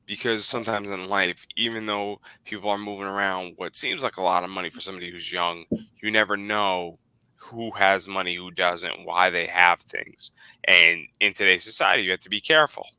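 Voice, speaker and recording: Bright-voiced young man hosting casually. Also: low-pitched, steady tone, moderate pace, clean tone, dry recording, poor audio. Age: 20s. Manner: rambling, repeats words, laughs often. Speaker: podcast host. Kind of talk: casual chat.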